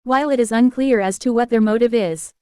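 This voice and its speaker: A woman's voice: fairly high pitch, a little fast, a little mellow, clear, resonant tone, no echo, clean studio sound, lightly acted. A woman playing a serious news anchor, delivering a formal scripted report.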